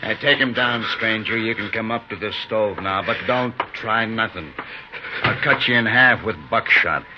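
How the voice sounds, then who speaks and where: An actor playing a burly, suspicious outlaw with a shotgun in a 1950s radio western, curt and commanding. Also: male voice, fairly low pitch, moderate pace, natural voice. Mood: menacing. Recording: noisy dull 1950s radio recording.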